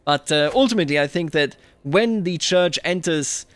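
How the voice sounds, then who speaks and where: Male game commentator, slightly high voice, close dry sound, muses through decisions aloud in roleplay style. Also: animated, fairly bright tone, no echo. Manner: thinks aloud, musing and deliberate. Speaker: host of a strategy game roleplay series.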